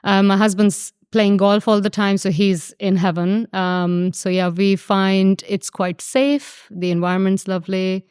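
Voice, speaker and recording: A woman's voice: medium pitch, little pitch movement, measured pace, few pauses, a little mellow, clean tone, no room sound, studio quality. A woman in her thirties from Pakistan, chatting easily as a guest designer, with a ready laugh. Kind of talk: casual interview chat.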